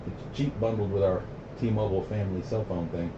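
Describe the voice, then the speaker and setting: Low-voiced American man on muffled CB radio. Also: fairly even intonation, brisk. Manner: plain-spoken, opinionated, uses CB slang. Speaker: CB radio operator hosting a radio night. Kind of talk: casual radio chat. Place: CB base radio station. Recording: muffled, noisy, roomy CB radio audio.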